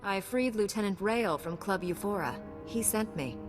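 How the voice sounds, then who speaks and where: An actress playing a righteous young warrior knight in a video game, composed, firm and formal. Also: female voice, medium pitch, moderate pace, music in the background, natural voice. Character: resolute, righteous young female Jedi knight. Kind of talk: scripted video game cutscene acting.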